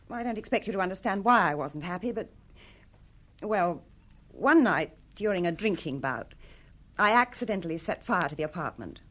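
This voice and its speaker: A woman's voice: fairly low pitch, a bit bright. A woman playing a retired film star in a radio drama, imperious and defensive, with formal, troubled delivery.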